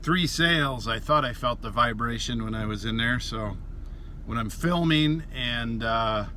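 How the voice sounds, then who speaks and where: A man's voice: medium pitch, measured pace, pushed and bright, slight background noise. A man with an American Midwestern accent, chatting casually and offhandedly as a vlogger, mumbling a little as he rambles. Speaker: vlogger, reseller. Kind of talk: casual rambling vlog talk.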